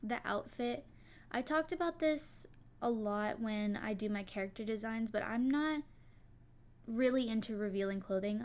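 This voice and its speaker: Soft-spoken young woman, rambling chat. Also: fairly high pitch. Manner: rambling, many likes and restarts. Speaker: vtuber talking about her avatar design. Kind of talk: casual solo talk to viewers.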